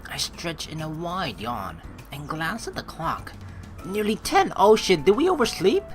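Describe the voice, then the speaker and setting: A woman doing a game playthrough, reading aloud and commenting with expressive, dramatic reactions. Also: sits low. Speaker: let's play streamer. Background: game music.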